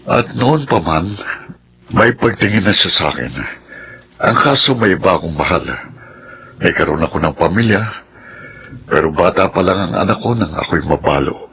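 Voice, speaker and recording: Deep-voiced, guilt-ridden stepfather. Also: lively intonation, normal speed, pauses often, pushed and bright, a little hiss or hum, exaggerated voice. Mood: resigned, confiding. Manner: earnest, hesitant. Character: conflicted, guilt-ridden stepfather resisting temptation. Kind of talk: Filipino radio drama acting.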